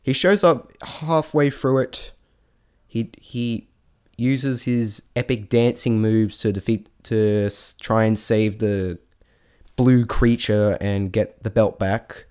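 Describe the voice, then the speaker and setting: Halting, rambling man reviewing casually. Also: on the low side, steady tone, darkish tone, clean tone. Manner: halting, rambling, often trailing off and restarting. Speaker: vlogger reviewing a stage show.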